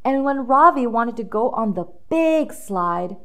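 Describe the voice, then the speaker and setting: A woman's voice: on the high side, expressive, unhurried, clear, resonant tone. A woman reading a children's storybook aloud with dramatic emphasis, drawing out key words for young listeners.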